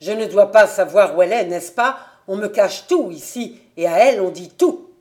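Woman voicing bitter, furious farmer's son, forceful. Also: medium pitch, animated, moderate pace, lightly acted. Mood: irritated, accusing. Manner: forceful, indignant, protesting.

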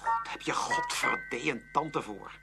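A man acting an awkward, easily embarrassed teenage boy in a radio play, husky and hesitant. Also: a little high, huge pitch swings, unhurried, loud music behind the voice, heavily acted. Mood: embarrassed, upset.

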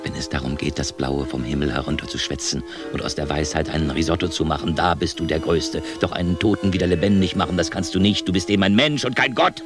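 An actor playing a haughty, grumbling god of fate, speaking imperiously and scornfully in theatrical radio drama style. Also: male voice, extremely low pitch, quick pace, few pauses. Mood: grudging, amused. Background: music.

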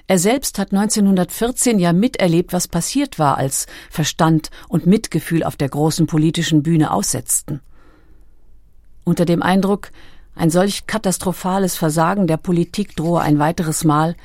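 A woman reading scripted documentary narration in a measured, factual manner. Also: fairly low pitch, darkish tone, no room sound, studio quality.

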